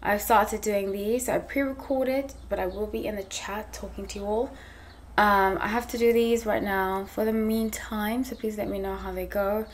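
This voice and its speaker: A woman's voice: mid-range voice, fairly even intonation, normal speed, a little hiss or hum. A woman in her thirties from New York, raised in South Africa and England, hosting a tarot channel and chatting casually to camera, a bit tired and hot, with lots of fillers and restarts. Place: small room indoors.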